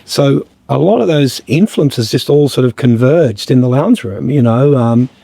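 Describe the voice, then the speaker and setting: A man, a guest musician, talking reflectively in a podcast conversation, a bit croaky, halting with many fillers and restarts. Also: medium pitch, animated, warm tone, no echo. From Australia.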